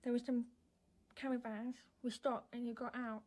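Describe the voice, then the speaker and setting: A woman's voice: on the high side, little pitch movement, normal speed, darkish tone, close to an everyday voice. A woman acting a young, earnest and frightened deaf girl in a naturalistic radio drama, speaking hesitantly in short, plain answers. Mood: hesitant, guarded.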